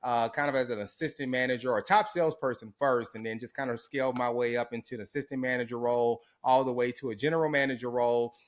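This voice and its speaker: A man from Houston, Texas, talks as a guest in a casual interview. His voice sits at an ordinary middle pitch. He tells his story in an upbeat, reflective way with an easy flow. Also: steady tone, quick pace, few pauses.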